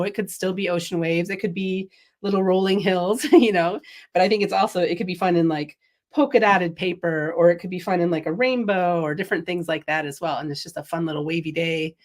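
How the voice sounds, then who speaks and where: A woman's voice: lowish voice, darkish tone. Chatty woman with a sniffly cold. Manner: chatty, laughs often, reads viewer comments. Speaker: craft livestream host. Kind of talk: casual product demo chat.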